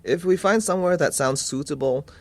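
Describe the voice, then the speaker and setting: A man's voice: on the high side, a little fast, no room sound, close to an everyday voice. A man acting a polite, concerned uncle who asks questions in a scripted listening-test dialogue. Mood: polite, curious. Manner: polite, inquiring. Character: polite concerned uncle seeking advice for his niece.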